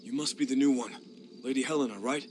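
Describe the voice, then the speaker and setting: A man voicing a grave, watchful lord commander in a game cutscene, speaking in a deliberate way. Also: medium pitch, darkish tone, slight background noise, natural voice.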